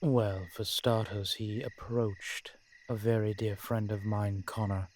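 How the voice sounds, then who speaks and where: Genteel, secretive age-old male vampire, performed by a man for audio roleplay; deepish voice, very slow, deliberate phrasing. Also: flat delivery, darkish tone, slight background noise. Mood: measured, confiding. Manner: measured, formal, genteel phrasing with deliberate pauses. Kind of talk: scripted audio roleplay addressed to the listener.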